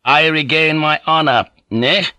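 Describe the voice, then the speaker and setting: A man's voice: fairly high pitch, unhurried, bright, forceful tone, dry recording, pitched above the performer's own voice. A man voicing a proud young samurai bent on honor, formal and defiant, in an audiobook. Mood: proud resolve. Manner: formal, defiant, clipped politeness. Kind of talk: audiobook character voice.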